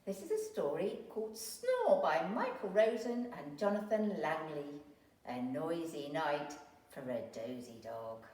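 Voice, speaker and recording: A woman reading a storybook aloud to young children like an infant school teacher, with expressive, drawn-out storytelling. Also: deep voice. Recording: roomy, distant microphone.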